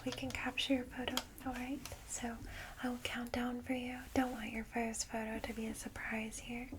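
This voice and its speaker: A woman whispering softly and soothingly in an ASMR roleplay as a caring, old-fashioned vampire guide, in a breathy voice. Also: fairly high pitch, monotone, background noise. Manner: gentle, soothing, slow reassurance.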